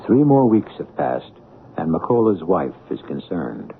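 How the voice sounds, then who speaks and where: A man's voice: low-pitched, big pitch swings, a little slow, gravelly. A man hosting a radio drama, reading scripted narration between scenes. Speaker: host, narrator. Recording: noisy, phone-like old radio broadcast.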